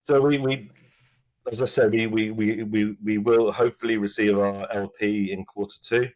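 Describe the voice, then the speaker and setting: A man answering podcast interview questions as a mining company executive, halting with frequent restarts and fillers. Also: on the low side, fairly even intonation, darkish tone, no echo, muffled recording.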